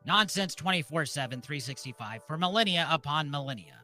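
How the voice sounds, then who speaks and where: A man hosting a comedy ceremony like a mock-solemn preacher, deadpan, speaking in deliberate short phrases. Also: on the high side, soft background music. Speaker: host and mock officiant of a comedy live show.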